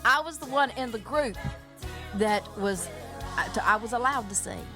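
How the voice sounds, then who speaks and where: A woman's voice: a little high, animated, moderate pace, music in the background. A woman in her forties hosting a radio morning show, chatting in a rambling, folksy way and laughing often. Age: 40s. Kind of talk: casual radio interview.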